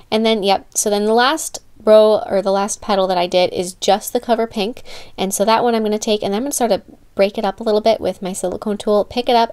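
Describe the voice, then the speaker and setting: A woman's voice: medium pitch, darkish tone. A woman giving a chatty, informal how-to voice-over, correcting herself as she goes, with a voice slightly giving out. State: slightly losing her voice. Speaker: nail art tutorial host. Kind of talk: casual how-to voice-over narration.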